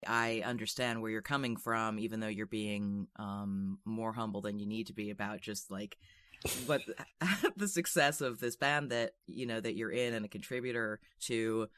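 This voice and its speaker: A man with a bright, crisp voice, recorded close and dry. He speaks as an interviewer, conversational and wordy, at a mid pitch. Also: normal speed, no echo.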